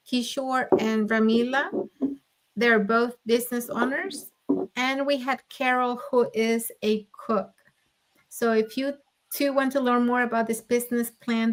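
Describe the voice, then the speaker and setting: A woman from Mexico, co-hosting a live business chat and listing panelists in a friendly way. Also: fairly high pitch. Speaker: co-host. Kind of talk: live-stream promo chat.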